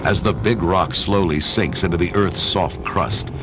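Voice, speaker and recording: Very deep male narrator over music. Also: a little slow, poor audio. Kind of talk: dramatic scripted storybook narration for an audio play.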